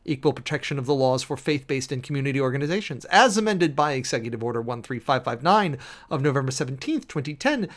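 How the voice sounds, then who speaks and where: A man's voice: medium pitch, animated, fast talker. A man giving a dramatic, emphatic reading of a formal document, announcing headings and punctuation as he goes. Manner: theatrical, emphatic, spells out punctuation and section headings. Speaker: streamer and host reading a document aloud.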